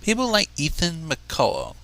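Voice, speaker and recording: A man's voice: fairly high pitch, huge pitch swings, a little slow, gravelly, bright, forceful tone. A man reading a story aloud in a dramatic, wry manner, slipping into casual asides between narrated passages. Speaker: livestream fanfic reader.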